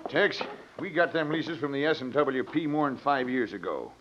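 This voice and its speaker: An actor playing a plain-spoken, aggrieved rancher with a folksy American Western accent in an old radio drama. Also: male voice, medium pitch, lively intonation, moderate pace, close to an everyday voice. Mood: wary. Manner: folksy, earnest. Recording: muffled old radio recording.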